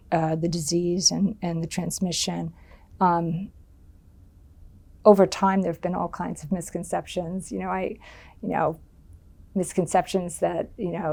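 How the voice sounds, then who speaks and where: Interview subject: middle-aged woman from the US, low gravelly voice, halting and thoughtful. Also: darkish tone. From United States. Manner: thoughtful, many ums and restarts. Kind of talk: oral history interview.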